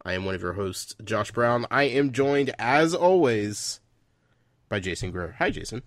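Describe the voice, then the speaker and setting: A man's voice: mid-range voice, lively intonation, a little slow, no echo, studio quality. A man hosting a casual podcast chat, stuffed up from a head cold, rambling with lots of fillers. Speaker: podcast host.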